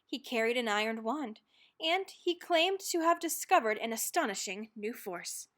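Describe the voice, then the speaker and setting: A woman reading a children's book aloud as narrator, expressively and dramatically, leaning on key words and pausing for effect. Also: a little high, lively intonation, fairly bright tone. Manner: dramatic, with stressed words and pauses. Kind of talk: expressive scripted storybook reading.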